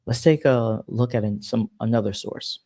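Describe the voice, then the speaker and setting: A man's voice: medium pitch, normal speed, mellow, no room sound. A man hosting a casual news commentary show, exasperated and emphatic as he rephrases his points.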